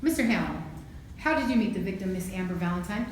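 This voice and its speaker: A woman playing a stern, formal courtroom prosecutor in an amateur student film, questioning in a measured, accusatory way. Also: medium pitch, animated, natural voice. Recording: very echoey room, distant mic, faint noise.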